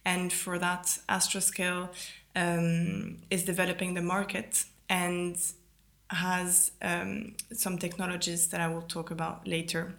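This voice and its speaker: A woman's voice: fairly low pitch, little pitch movement, unhurried, vocal fry, a bit bright. A young French woman who grew up in Italy, a native French speaker, explaining her work as an interview guest. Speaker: podcast guest, ground systems engineer.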